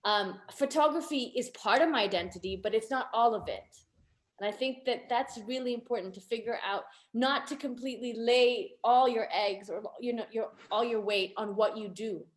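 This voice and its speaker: Tanzanian woman in her 30s on a video call, thinks out loud, bit high voice, roomy echo. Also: normal speed. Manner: open, reflective, lots of fillers. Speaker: guest photographer. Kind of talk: casual discussion. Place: home room. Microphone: video call laptop camera. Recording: echoey room.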